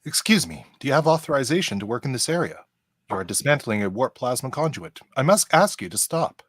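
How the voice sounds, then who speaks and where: A man's voice: mid-range voice, very animated, a little fast, fairly bright tone, slightly rough, no echo, clean studio sound. A man playing a polite android officer with formal, precise speech in a live radio play. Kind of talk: amateur live radio-play table read.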